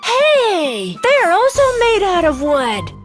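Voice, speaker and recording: Casting: naive little wooden boy played by a woman, tiny very high voice, unhurried delivery, cartoon storybook, music underneath. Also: huge pitch swings, exaggerated voice. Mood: excited wonder. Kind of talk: children's animated fairy tale acting.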